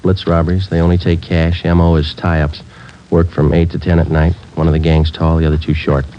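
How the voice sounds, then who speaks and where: A man's voice: rumbling low, flat delivery, fairly quick, warm tone. An actor playing a terse male police detective sergeant who narrates in a clipped, matter-of-fact way, in a 1950s radio drama. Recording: dull old radio transcription with faint hiss.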